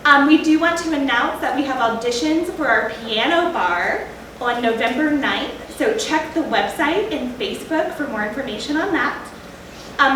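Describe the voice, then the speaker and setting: High-voiced woman in a very echoey theater. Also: a bit bright. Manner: chatty, informal, jokey. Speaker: theater director giving curtain speech. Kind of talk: casual pre-show welcome to live audience. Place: live theater stage before an audience. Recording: very echoey theater, distant mic, noisy.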